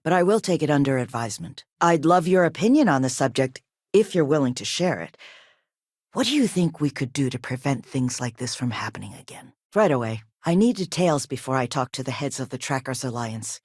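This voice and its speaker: A woman's voice: extremely low pitch, lively intonation, a little mellow, no echo. Composed businesswoman, dry close recording. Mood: measured, polite. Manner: polite, measured, formal and businesslike. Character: composed, businesslike woman running a firearms company. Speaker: video game character. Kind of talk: video game dialogue acting.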